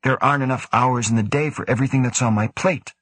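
A man voicing an earnest young male scientist who argues quickly and defensively. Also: medium pitch, a bit bright, slightly rough, no echo, pitched above the performer's own voice.

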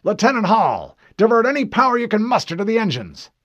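A man's voice: a little high, sing-song, normal speed, dry recording, pitched above the performer's own voice, exaggerated voice. A man voicing a resolute male starship captain who barks clipped military orders in a sci-fi audiobook. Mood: urgent commanding. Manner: forceful, clipped military command.

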